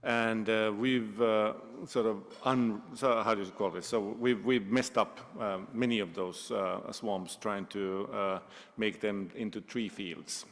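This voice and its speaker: A Finnish man with a low, gravelly voice speaks English haltingly on a conference panel, filling gaps with "uh" as he searches for words. The sound carries the long reverb of a lecture hall. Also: steady tone, fairly bright tone. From Finland.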